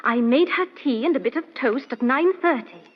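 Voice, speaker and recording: Young woman, high-pitched, genteel, earnest lady's companion, flustered, old-time radio drama, muffled recording. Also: animated, a little fast.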